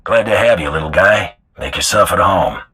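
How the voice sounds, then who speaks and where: A man playing a gruff, cocky mercenary bounty hunter in a video game, with a gravelly voice and a terse, wry way of talking. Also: low-pitched, animated, normal speed, pushed and bright, exaggerated voice. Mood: wry, easygoing. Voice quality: gravelly, husky. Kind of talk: video game character acting.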